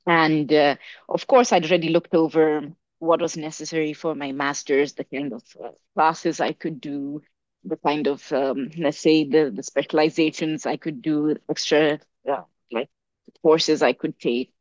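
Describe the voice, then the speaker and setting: A woman's voice: low-pitched, measured pace, no echo, lo-fi sound. A young woman from Africa, a podcast guest, talking casually and telling a story with lots of fillers and restarts. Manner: animated storyteller with many fillers. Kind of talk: casual conversation.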